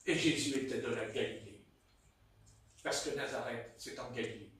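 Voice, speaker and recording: A man with a Marseille French accent preaching a sermon in a church hall, telling stories in a playful, animated way. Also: mid-range voice, moderate pace, a little hiss or hum. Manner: animated, playful storytelling with chuckles. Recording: echoey hall, mic far off.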